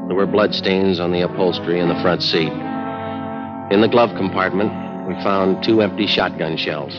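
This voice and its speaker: An American man playing a terse police detective in a 1950s radio drama, speaking in a clipped, deadpan way. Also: sits low, normal speed, lightly acted. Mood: terse, matter-of-fact. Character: terse, businesslike male police detective sergeant. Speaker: lead actor and narrator. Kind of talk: 1950s radio acting, scripted narration. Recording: dull vintage 1950s radio recording, music behind.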